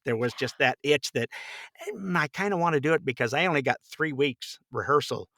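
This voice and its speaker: A man's voice: medium pitch, brisk, sharp tone, no room sound, studio quality. A middle-aged man chatting casually as an interview guest, telling anecdotes in a rambling, chuckling way. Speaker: podcast guest, voice actor.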